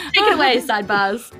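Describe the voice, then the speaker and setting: A New Zealand woman hosts a podcast in a loose, chatty style. Her voice is bright and crisp-edged, with a giggly, effusive manner. Music plays behind her. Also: on the high side. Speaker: podcast host and game master. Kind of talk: casual chatty podcast hosting.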